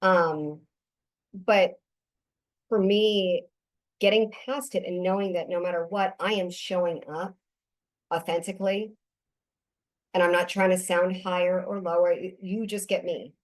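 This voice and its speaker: A woman hosting a podcast, chatting in a relaxed, affirming way with her guest. Her voice sits fairly low for a woman. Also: unhurried, pauses often, low-quality recording. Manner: conversational, affirming. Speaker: podcast host. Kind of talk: casual podcast interview.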